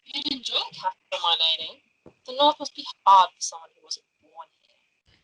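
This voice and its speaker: A woman's voice: on the high side, expressive, normal speed, pushed and bright, breathy edge, heavily acted, poor audio. A woman playing an arrogant young knight, smug and mock-courteous, in an amateur script table read. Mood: smug, needling. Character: smirking, arrogant young knight, male, needling a rival.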